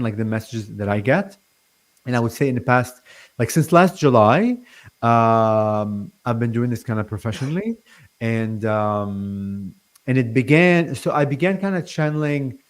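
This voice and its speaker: A man in his forties, born in Lebanon, talking as a guest on a call-in interview; he stutters and speaks haltingly with many fillers and restarts. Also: mid-range voice. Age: 40s. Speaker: guest channeler. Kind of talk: casual interview talk.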